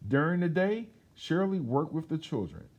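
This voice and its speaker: A man reading a children's picture book aloud in an expressive, emphatic storytelling manner. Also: on the high side, moderate pace, lo-fi sound. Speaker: children's read-aloud host.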